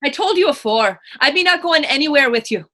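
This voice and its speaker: A woman's voice: sits high, animated, fairly quick. An actress playing a defiant, scornful woman in a stage drama, speaking in righteous, old-fashioned phrases. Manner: sharp, archaic, righteous phrasing. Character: defiant, scornful, pious-tongued woman accused of witchcraft. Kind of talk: stage readers theater drama.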